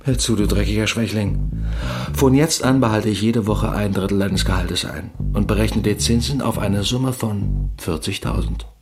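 A man acting in a radio play as a gruff, domineering garage boss, curt, sarcastic and threatening. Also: fairly low pitch, normal speed, over music, lightly acted. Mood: cold anger.

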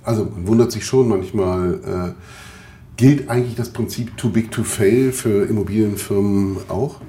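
A man asks interview questions in a lowish, dark and mellow voice, a little halting. He is recorded on location in an echoey room with faint background noise. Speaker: interviewer, journalist. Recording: roomy, noisy on-location interview.

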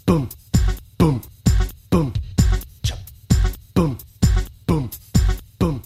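Menschliche Beatbox, laute Musik. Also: male voice, fairly high pitch, drawn-out words. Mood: steady, mechanical. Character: man mouthing a techno beat as syllables. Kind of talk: vocal percussion in a radio play. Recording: loud music under the voice.

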